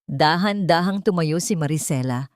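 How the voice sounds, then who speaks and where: A woman's voice: low-pitched, moderate pace, no echo, clean studio sound. A woman reading a dramatic scripted story as its narrator.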